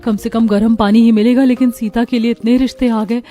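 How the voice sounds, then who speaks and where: A woman's voice: on the high side, steady tone, a little fast, natural voice. A woman voicing a humble young daughter-in-law. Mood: gentle. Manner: polite, gentle.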